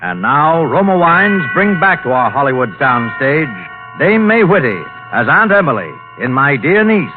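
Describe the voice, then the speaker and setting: A man's voice: on the high side, very animated, measured pace. A man introducing a 1940s radio program in a formal, dramatic scripted announcer style. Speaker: show announcer. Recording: tinny old radio recording with loud music.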